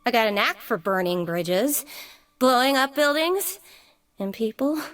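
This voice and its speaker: A brash young troublemaker from a video game, with a high, bright voice. She is cheeky and theatrical, taking her time over lines that swing widely in pitch. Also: lively intonation, a little slow. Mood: cocky, mischievous. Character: brash, playful young female troublemaker with explosive streak.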